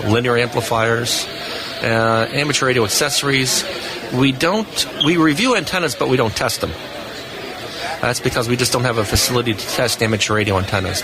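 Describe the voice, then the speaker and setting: A man giving unscripted, explanatory answers as a technical guest in a live interview. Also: medium pitch. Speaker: radio equipment test engineer, interview guest. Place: booth on a convention hall floor. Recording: noisy busy convention floor background.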